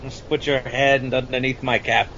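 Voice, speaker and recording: A man's voice: medium pitch, little pitch movement, moderate pace, pushed and bright. A man playing a weathered, hard-drinking old shark hunter and haunted war veteran in an amateur audio adaptation of a film scene, with a salty, folksy storytelling manner. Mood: boisterous, tipsy. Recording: dull, noisy lo-fi recording.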